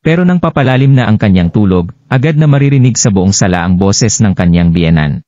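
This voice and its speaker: A man's voice: on the low side, expressive, brisk, mellow. A Filipino man narrating a scripted, dramatized story as its storyteller. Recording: dull lo-fi, roomy echo.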